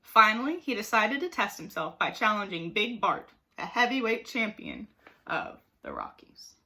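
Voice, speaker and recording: A woman reading a children's story aloud like a teacher, with expressive, dramatic emphasis. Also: medium pitch, moderate pace. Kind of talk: animated storybook narration for children.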